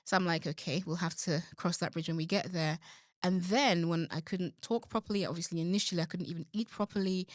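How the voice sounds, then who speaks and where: A gospel singer woman speaking in an interview, earnest and reflective. Her voice sits a little low. The sound is dry and close, with no room around it. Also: fairly even intonation, brisk, no echo. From London. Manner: earnest, reflective, warm about her faith. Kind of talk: casual interview talk.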